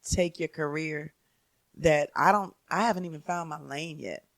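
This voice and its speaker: Bright, forceful woman in a dry room. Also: low voice, moderate pace, gravelly, no room sound. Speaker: comedian, podcast guest. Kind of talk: casual chat.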